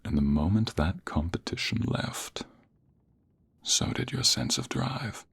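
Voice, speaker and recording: A man playing a smug, flirtatious office rival in an intimate ASMR roleplay, taunting in a deep, breathy, gravelly near-whisper. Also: lively intonation, a bit bright, full character voice. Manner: slow, deliberate, taunting, drawn-out phrases. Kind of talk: ASMR romantic roleplay, intimate second-person acting.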